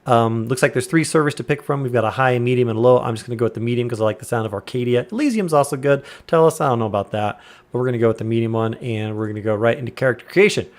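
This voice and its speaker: A man in his thirties talks through a game on a live stream in a deliberately hushed voice, loose and chatty. His pitch sits in an ordinary middle range, and he reads out viewers' names as he goes. A desk mic in a quiet room at home picks him up up close. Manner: chatty, relaxed, greets viewers by name. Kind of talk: casual live-stream chat while gaming.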